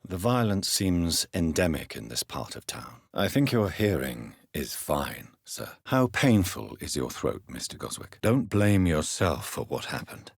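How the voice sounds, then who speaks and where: Deep, husky English gentleman vampire doctor. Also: very animated, no echo, clean studio sound, heavily acted. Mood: courteous, probing. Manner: formal, measured, precise diction. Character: grave, courteous gentleman doctor, war veteran turned vampire. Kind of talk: dramatic video game dialogue.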